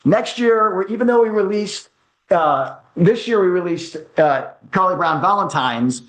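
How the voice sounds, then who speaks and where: An American man answering as an interview guest, in a conversational way, often halting as he thinks aloud. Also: high voice, expressive, fairly bright tone, rough recording. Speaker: guest, music producer.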